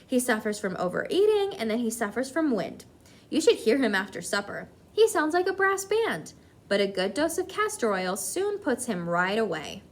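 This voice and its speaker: Woman putting on a loud blustering angry father. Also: fairly high pitch, big pitch swings, normal speed. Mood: scornful. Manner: loud, indignant, emphatic. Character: blustering, hot-tempered middle-aged father, shouting in outrage.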